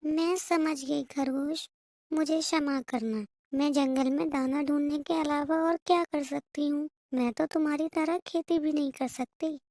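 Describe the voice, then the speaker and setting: A woman's voice: high-pitched, fairly even intonation, unhurried, warm tone, dry recording. A woman voicing a sly, self-pitying young female bird in a cartoon moral story, with a whiny, pleading manner. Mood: meek, apologetic.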